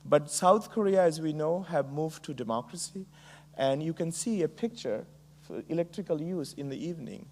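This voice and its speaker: A middle-aged Bangladeshi man delivers a keynote talk, his voice sitting slightly high for a man. He speaks in a measured way, pausing on frequent ums and uhs. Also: expressive, a little mellow, a little hiss or hum. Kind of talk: conference keynote speech.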